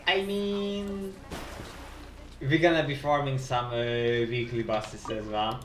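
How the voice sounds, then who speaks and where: A man giving casual live commentary on a game as a streamer, haltingly repeating phrases as he thinks aloud. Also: medium pitch, steady tone, a bit bright, a little hiss or hum. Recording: roomy sound with game music. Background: video game music.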